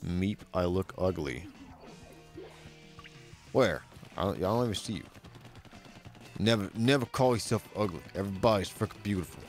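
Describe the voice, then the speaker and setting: A young man with a very deep voice casually commenting on his game, laid-back and swearing a lot. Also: moderate pace, pauses often, faint music behind. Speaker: game streamer. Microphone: studio mic with bass roll-off.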